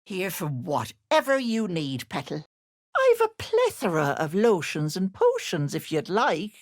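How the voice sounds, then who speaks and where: Wildly swooping, sly old auntie hag. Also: female voice, on the low side, huge pitch swings, measured pace. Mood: sly, coaxing. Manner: cooing, wheedling endearments with sudden menace. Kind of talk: theatrical video game character acting.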